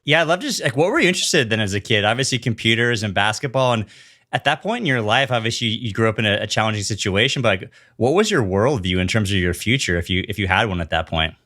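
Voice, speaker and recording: A man's voice: fairly low pitch, lively intonation, fast talker, bright, forceful tone. A man hosting a podcast and interviewing in a conversational way. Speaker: podcast host.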